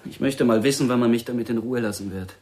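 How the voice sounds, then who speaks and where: A man's voice: mid-range voice, fast talker, a little mellow, lightly acted. An actor playing a weary, slight young man in a crime radio play, resigned and guarded. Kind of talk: crime radio drama dialogue.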